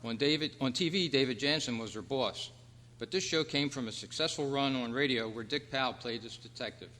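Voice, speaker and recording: Male quiz host, mid-pitched voice, reading questions aloud at a club meeting, heard with long hall reverb. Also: brisk, sharp tone, slight background noise. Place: indoor meeting room at a convention.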